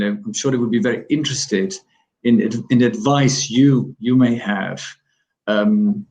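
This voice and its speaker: A man with a fairly low voice moderates a panel. He thanks the speakers and moves the talk along politely, often doubling words as he begins his sentences. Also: vocal fry.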